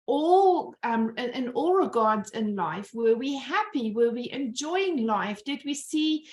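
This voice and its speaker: A woman's voice: a little high, expressive, takes it slowly. A South African woman in her fifties, telling her personal story in a presentation over a video call. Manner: earnest storytelling with rhetorical questions. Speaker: business owner giving a presentation. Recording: roomy echo.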